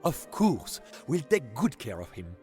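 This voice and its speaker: A man's voice: mid-range voice, huge pitch swings, unhurried, a little mellow, faint music behind, pitched above the performer's own voice. A man voicing a polite, effusive French hotel concierge with a French accent in an audiobook. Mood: courteous, welcoming. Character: courteous, eager French male hotel concierge. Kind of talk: audiobook character dialogue.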